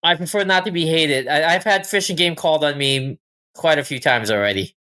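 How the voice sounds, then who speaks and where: A man's voice: fairly high pitch, fairly quick, sharp tone. Casual man recounting his own experiences. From Thailand. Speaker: podcast guest, falconer. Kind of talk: casual personal storytelling and chat.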